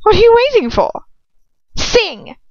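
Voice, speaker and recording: A woman voicing a cruel, spoilt villainous witch for an audiobook, shrill, mocking and demanding. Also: big pitch swings, measured pace, dry recording, full character voice. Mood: impatient. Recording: dull lo-fi home recording.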